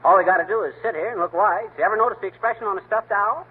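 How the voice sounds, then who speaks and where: An actor playing a brash, folksy, self-important husband in 1930s radio comedy. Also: male voice, high voice, rapid-fire, close to an everyday voice. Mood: blustering. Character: boastful blustering middle-aged husband. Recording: tinny lo-fi old radio broadcast, faint hiss.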